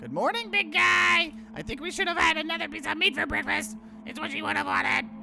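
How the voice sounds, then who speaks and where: A man voicing an eerie, demanding, mock-sweet horror visual novel character in a squeezed, creaky voice. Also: very high-pitched, lively intonation, a little fast, background noise, voice pushed up, heavily acted.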